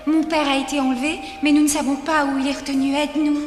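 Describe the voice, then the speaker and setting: A woman's voice: high voice, flat delivery, quick pace, lightly acted. A woman voicing an earnest, pleading young princess in a 1990s French cartoon dub. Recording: echoey reverberant soundtrack with music.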